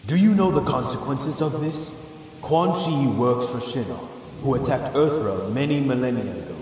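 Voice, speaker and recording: A man's voice: medium pitch, animated, moderate pace, darkish tone, natural voice. Guy voicing solemn stern god, huge echo, faint rain noise, audio drama. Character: grave, reproachful male god, solemn protector of Earth. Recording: long hall reverb, distant, faint rain noise.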